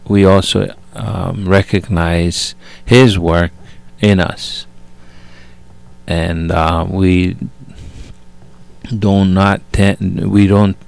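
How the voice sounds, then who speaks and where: A middle-aged man raised in Italy, sharing his faith in a halting way with many fillers and restarts. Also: low-pitched, little pitch movement, gravelly. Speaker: guest father. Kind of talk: faith testimony, casual talk.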